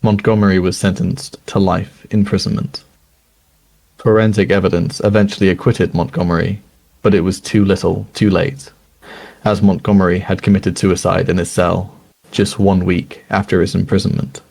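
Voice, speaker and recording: A man playing a sober news reader, narrating a crime documentary in a measured, grave and formal way. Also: low-pitched, flat delivery, vocal fry, darkish tone.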